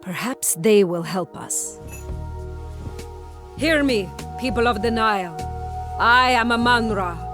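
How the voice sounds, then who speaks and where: A woman's voice: fairly high pitch, lively intonation, slow, long pauses, over music. Resolute woman warrior leader. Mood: rallying. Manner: declamatory, resolute. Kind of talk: dramatic video game acting.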